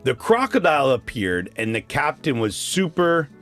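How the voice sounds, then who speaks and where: A man's voice: on the high side, big pitch swings, moderate pace, fairly bright tone. A Canadian man hosting a children's radio show, chatting casually and encouragingly and putting quiz questions to young listeners. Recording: music playing behind the voice.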